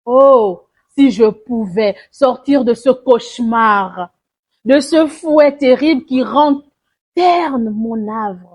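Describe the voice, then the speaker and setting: Slow, husky woman reciting poetry. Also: a little high. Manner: solemn, mournful, deliberate phrasing with swells and hushes. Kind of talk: dramatic spoken-word poetry recitation.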